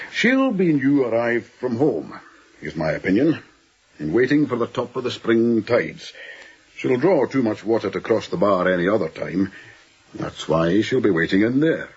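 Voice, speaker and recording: A man's voice: lowish voice, big pitch swings, normal speed, breathy edge, full character voice. A man acting an older, opinionated first mate in a radio drama, with a fussy, emphatic and ponderous way of speaking. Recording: dull, lo-fi radio drama recording.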